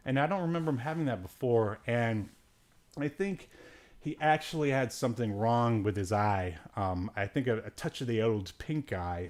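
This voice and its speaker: Rambling, jokey man chatting casually. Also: medium pitch. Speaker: podcast host. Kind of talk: casual chat, recapping and reviewing a TV movie.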